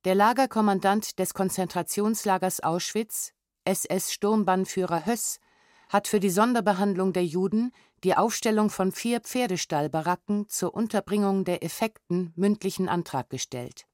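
A woman's voice: mid-range voice, normal speed, darkish tone, no echo, studio quality. A woman narrator giving a formal, factual reading of archival documents, restrained and precisely enunciated. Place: studio.

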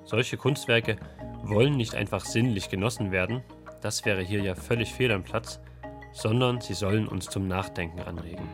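Male radio feature narrator, lower register, scripted explanatory delivery over prominent music. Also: moderate pace.

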